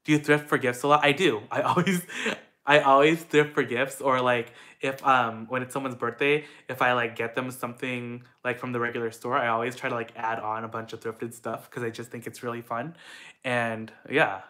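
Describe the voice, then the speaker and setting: A man's voice: medium pitch, little pitch movement, normal speed, pushed and bright. A young man from the Philippines chatting casually at home, answering viewer questions in an upbeat way with lots of fillers. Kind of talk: casual Q&A chat.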